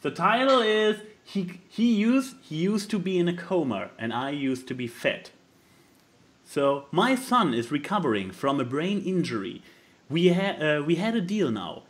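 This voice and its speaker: A man co-hosting a podcast, reading posts aloud and commenting casually with sarcastic, mock-dramatic delivery. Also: a little high. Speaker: podcast co-host.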